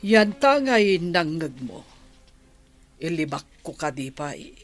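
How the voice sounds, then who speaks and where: Slow-speaking troubled grandmother. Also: low-pitched, sing-song, faint music behind, full character voice. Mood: worried, reflective. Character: elderly grandmother, madam of the household, troubled and protective. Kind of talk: Ilocano radio drama acting.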